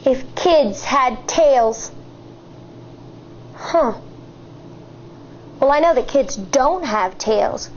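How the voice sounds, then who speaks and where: An American woman in her thirties, a teacher reading a picture book aloud to children in an expressive, deliberate way. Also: sits high, lo-fi sound, a little hiss or hum. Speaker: elementary school teacher.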